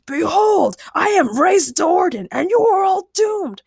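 Woman doing a bombastic armored fantasy villain, loud. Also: very high-pitched, very animated, unhurried, gravelly, no echo, studio quality, voice pushed up, full character voice. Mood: booming menace. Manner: loud, declaiming. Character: bombastic, irritable armor-clad man from a fantasy game.

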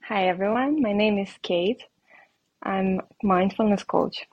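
A woman's voice: mid-range voice, unhurried, no echo, dull audio. Russian-accented woman, hesitant and dry-recorded. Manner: hesitant, many ums, laughs lightly. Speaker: guest coach. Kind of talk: casual interview answers.

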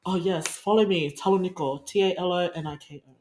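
A woman's voice: on the low side, steady tone, a little fast, darkish tone, low-quality recording. A 21-year-old woman, a friendly, casual podcast guest. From South Auckland, New Zealand. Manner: friendly, casual, laughs easily.

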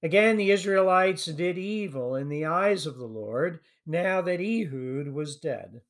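A man hosting a sermon podcast, delivering a prepared message in a measured way that trails off at the end of phrases. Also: a little high, expressive. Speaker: podcast host and preacher.

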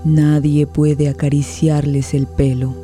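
A woman's voice: deep voice, level tone, slow pace. A woman giving a dramatic scripted narration of a radio play. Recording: soft guitar music under voice.

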